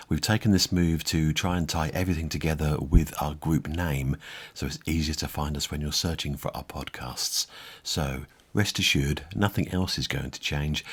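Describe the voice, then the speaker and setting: A man's voice: a bass voice, husky. A man hosting a podcast in a casual, conversational style. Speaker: podcast co-host.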